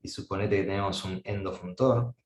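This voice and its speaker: A man's voice: low voice, fairly even intonation, a little fast, reverberant. A man giving an academic mathematics lecture, thinking aloud with frequent fillers and restarts.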